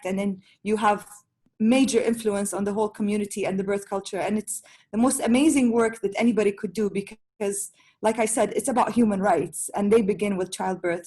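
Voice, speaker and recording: A woman's voice: medium pitch, darkish tone. A woman doula talking passionately and at length on a panel, with many restarts. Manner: passionate, rambling with fillers and restarts. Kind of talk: casual panel chat.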